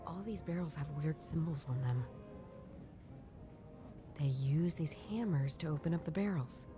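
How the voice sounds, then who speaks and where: Low-voiced, dry female sleuth. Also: measured pace. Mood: observant. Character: dry, guarded, inquisitive young woman detective. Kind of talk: video game voice acting. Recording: music behind the voice.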